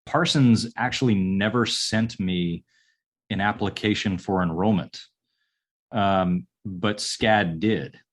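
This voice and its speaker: Low-pitched man in his forties, unhurried and halting, guest on an interview podcast. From upstate New York. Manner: halting, many ums and restarts. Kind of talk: casual interview answers.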